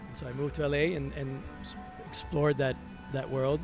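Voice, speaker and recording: A man's voice: medium pitch, level tone. Reflective Venezuelan man over background music. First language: Spanish. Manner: reflective, halting, repeats words as he searches. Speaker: interview guest, musician and hospitality entrepreneur. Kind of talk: conversational personal storytelling.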